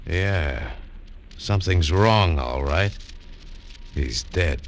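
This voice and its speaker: An actor playing a tough, suspicious American adventurer with a clipped, sardonic manner in 1940s radio drama. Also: male voice, sits low, lively intonation, extremely slow, heavy background noise, full character voice. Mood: curt, grim. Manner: hard-boiled, clipped.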